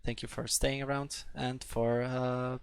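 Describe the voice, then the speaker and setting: A young man with a Polish accent teaches a live coding session in a gravelly, mid-pitched voice. He hesitates often and fills pauses with "uh" as he works. The sound is close and dry. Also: little pitch movement, no room sound. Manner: hesitant, many fillers and restarts. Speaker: coding instructor. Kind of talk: live-streamed coding tutorial.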